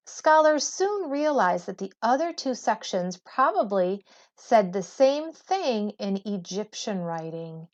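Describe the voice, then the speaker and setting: A woman teacher reading a textbook aloud to students in a measured, deliberate way. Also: medium pitch. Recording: dull lo-fi recording.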